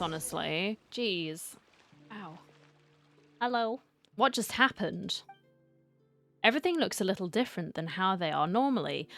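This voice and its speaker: A woman gives casual reaction commentary as she plays a game. Her voice is medium in pitch and she talks informally, thinking out loud. Faint music runs underneath. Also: creaky. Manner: informal, musing aloud. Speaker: gaming video host.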